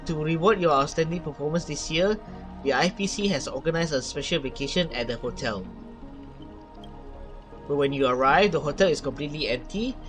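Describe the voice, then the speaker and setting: A male gaming streamer with a slightly high voice reads story text aloud. His delivery is theatrical and dramatic, as if narrating a play. Game music runs behind him. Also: a little fast, fairly bright tone. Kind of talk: reading game dialogue aloud while reacting.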